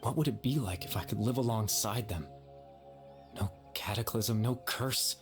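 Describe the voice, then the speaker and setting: An actor playing the gentle, regretful lingering consciousness of a dead young man in a video game, soft-spoken and measured. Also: on the low side, music in the background, natural voice. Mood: wistful, regretful.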